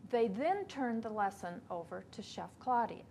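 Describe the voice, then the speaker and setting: Woman in her 50s reading a teacher webcast script, even and measured, studio, bit of hiss. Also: fairly high pitch. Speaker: educator presenting a webcast. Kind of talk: scripted instructional narration. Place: small studio before a backdrop.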